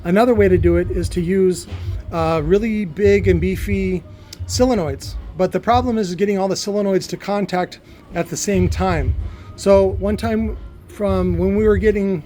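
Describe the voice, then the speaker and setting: A man with a high, mellow voice talks a listener through technical details in an informal way. His delivery is halting, with words repeated mid-sentence. Faint music plays behind him. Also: fairly even intonation. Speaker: electronics builder explaining a device.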